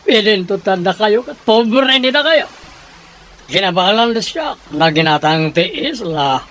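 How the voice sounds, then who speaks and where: A man's voice: high voice, animated, measured pace, a bit bright. A man playing a domineering, scheming rich Señor who speaks in broken, choppy phrases in an audio drama. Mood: scornful, demanding. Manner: broken, choppy phrasing, refers to self in third person. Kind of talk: Ilocano audio drama acting.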